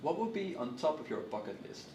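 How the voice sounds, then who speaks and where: A man asking short interview questions in a brisk way. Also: a little high, big pitch swings, warm tone. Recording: roomy, distant mic, faint noise.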